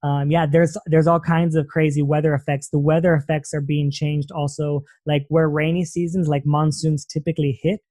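A male podcast host with a fairly high but mellow voice, recorded close and dry. He rambles conversationally, piling up fillers and restarts as he explains things. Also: flat delivery, moderate pace, hardly any pauses, dry recording. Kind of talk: casual interview conversation.

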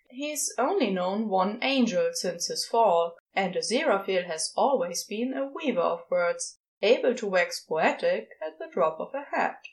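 A woman reading fiction aloud as expressive scripted narration, with dramatic pauses. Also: medium pitch, low-quality recording. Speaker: podfic reader.

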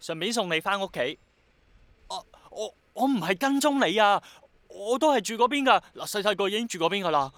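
A man's voice: sits high, lively intonation, a little fast, fairly bright tone, no room sound. An actor with a Hong Kong Cantonese accent playing an earnest, dreamy young man who is obsessed with bringing back rain, in a radio drama. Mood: eager, flustered. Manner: passionate, persuasive, romantic-minded.

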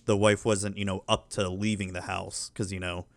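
Low-voiced man telling rambling, profane stories. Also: flat delivery, normal speed, creaky, no echo. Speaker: podcast co-host. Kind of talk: casual chat.

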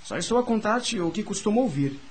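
A man acting in a radio drama as a gossipy, mildly grumbling Parisian gentleman who explains things in formal old-fashioned words. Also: sits high, expressive, fairly quick, slight background noise. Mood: knowing, explanatory.

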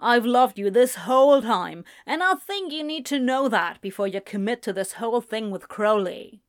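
A woman's voice: a little high, animated, normal speed, close to an everyday voice. A woman voicing a confident, insistent man in an audiobook story, blunt and certain, dismissive yet lovesick. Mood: earnest, pleading.

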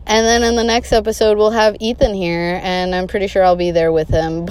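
A woman's voice: mid-range voice, a little mellow, clear, resonant tone, no echo. A woman in her twenties from Boston, talking casually and candidly as a podcast host, with lots of ums. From Boston, USA. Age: 20s. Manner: chatty, candid, with many ums and fillers. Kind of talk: casual solo podcast chat.

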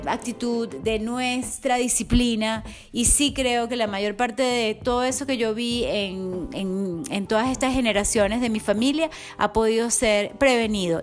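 A middle-aged woman with a slightly high voice talks straight to her listeners as a wellness coach. She is enthusiastic and affectionate, chatting along in a motivational monologue. Soft music plays faintly behind her. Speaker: wellness coach podcast host.

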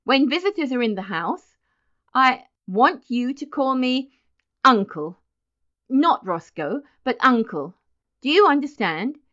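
A woman's voice: medium pitch, very animated, moderate pace, lo-fi sound, close to an everyday voice. A woman voicing a stern, bossy grown man scolding a relative in an audiobook, speaking emphatically. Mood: stern, insistent. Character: stern, embarrassed grown son scolding his father. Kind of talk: audiobook character acting.

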